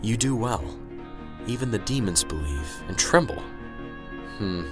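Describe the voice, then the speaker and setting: Casting: male actor as anxious bookish professor for radio drama, slow and halting, wide swings in pitch, music underneath. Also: expressive. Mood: pensive, troubled. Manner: hesitant, muttering to himself, stammering. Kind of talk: amateur radio drama acting.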